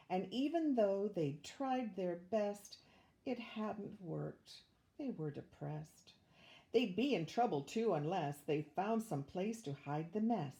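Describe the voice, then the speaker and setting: An older woman reading a rhyming children's story aloud in an expressive, measured way. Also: medium pitch. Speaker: storybook reader, retired teacher.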